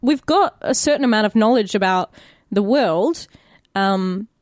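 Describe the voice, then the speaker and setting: A woman's voice: medium pitch, lively intonation, a little slow, darkish tone, dry recording, studio quality. A woman with an Australian accent chatting casually and candidly as an actor on a podcast, swearing freely. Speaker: podcast cast member, actor. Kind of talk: casual chat.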